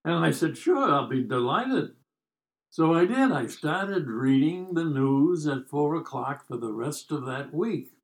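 A man's voice: fairly high pitch, animated, a little slow, poor audio. An American man in his nineties telling stories casually, with a wry, joking, rambling manner. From United States. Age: 90s. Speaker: podcast guest telling life stories. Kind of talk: casual storytelling.

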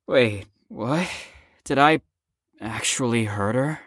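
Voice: medium pitch, slow, fairly bright tone, no room sound, clean studio sound. An actor in an audio drama playing a stubborn, sarcastic young patient who is worn out from lack of sleep. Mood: tired, flippant. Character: flippant, exhausted, stubborn young patient called Storm.

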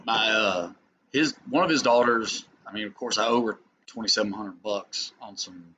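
A man's voice: fairly low pitch, moderate pace, bright, forceful tone. A man co-hosting a podcast in loose, profane banter, teasing his guests and talking over them. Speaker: podcast co-host. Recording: dull lo-fi podcast audio with faint noise.

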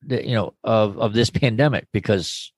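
A man's voice: fairly low pitch, normal speed, no echo. A man in his fifties co-hosting a casual podcast chat, joking and rambling off on tangents. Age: 50s. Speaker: podcast co-host.

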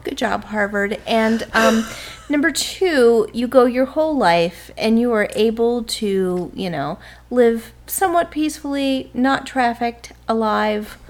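A woman co-hosting a podcast with casual comedic banter, expressive and often incredulous. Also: on the high side, slow pace. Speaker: podcast co-host.

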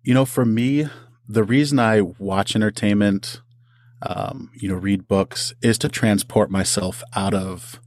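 A man's voice: lowish voice, steady tone, unhurried, a little mellow, dry recording, studio quality. A man talking casually as an interview guest and writer, with a self-deprecating, good-humoured way of speaking. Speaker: audio drama writer.

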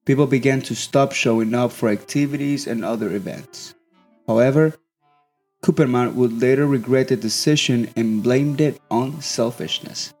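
A young man, a student, reading a scripted documentary narration in steady, measured phrases. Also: mid-range voice. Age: young adult.

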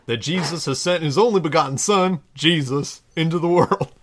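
A man's voice: on the high side, normal speed, background noise, pitched above the performer's own voice, close to an everyday voice. A man playing a theatrical, fervent Texan pastor with a drawl, preaching parody scripture.

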